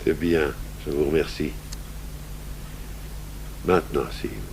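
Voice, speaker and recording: An actor playing a calm, patient, fatherly police detective in a French-language radio drama, speaking with measured courtesy. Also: a bass voice. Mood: calm, courteous. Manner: measured, courteous questioning and recounting. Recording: humming, noisy old radio tape.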